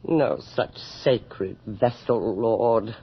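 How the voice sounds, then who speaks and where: A woman's voice: extremely low pitch, slightly rough, heavily acted. An actress playing a vain, cruel, passionately devoted noblewoman in a theatrical 1980s radio horror drama. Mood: cold, silky. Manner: theatrical, intense, drawn-out delivery. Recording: muffled old radio drama with hiss.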